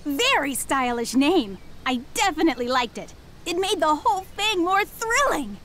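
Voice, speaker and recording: A woman's voice: sits high, big pitch swings, a little hiss or hum. A woman playing an eager, bubbly young jiu-jitsu fighter in a video game, bouncy and enthusiastic in her delivery. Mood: delighted. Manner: bouncy, enthusiastic, drawn-out exclamations. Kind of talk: video game cutscene acting. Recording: loud music behind.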